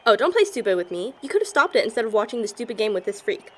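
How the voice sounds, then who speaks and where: A woman's voice: fairly high pitch, big pitch swings, rapid-fire, fairly bright tone, a little hiss or hum. A young woman playing a hot-tempered, confrontational teenage girl in an amateur audio drama, snappy and dramatic. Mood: angry.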